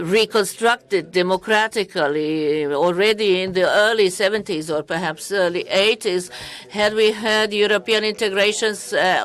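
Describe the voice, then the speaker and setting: A woman interpreting a parliamentary speech live, with halting, deliberate phrasing broken into short pieces. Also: mid-range voice, a bit bright.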